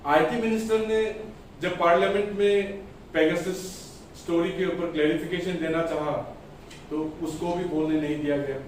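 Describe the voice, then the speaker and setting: Echoey, high-pitched man making a political statement. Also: fairly even intonation. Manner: emphatic, deliberate, in short phrases with rhetorical questions. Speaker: political party spokesperson. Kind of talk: press conference political statement. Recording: echoey room, distant mic, faint noise.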